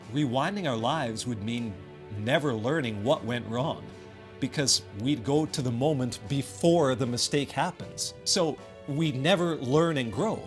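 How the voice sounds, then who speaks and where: A man with a fairly high voice narrates a scripted game review. He is enthusiastic, dropping casual, wry asides, and soft music plays underneath. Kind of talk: scripted video essay narration. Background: background music.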